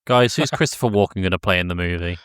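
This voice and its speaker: Man, lower-pitched voice, podcast co-host, informal film chat, dry sound. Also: fast, fairly bright tone, clean tone, no room sound. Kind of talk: casual film discussion chat.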